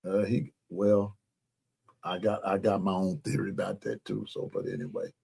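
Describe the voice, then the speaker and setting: A man's voice: low-pitched, big pitch swings, normal speed, gravelly, a little mellow, husky. A man co-hosting casual sports talk, starting his phrases hesitantly and repeating their openings. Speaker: sports talk show co-host. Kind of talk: casual live sports chat.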